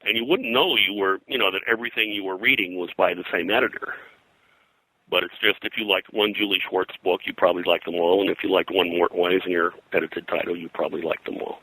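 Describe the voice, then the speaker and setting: Older man, deep creaky voice. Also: fairly even intonation, sharp tone, no room sound, low-quality recording. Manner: halting, digressive, frequent "you know". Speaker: guest, pop culture historian. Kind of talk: rambling interview reminiscence.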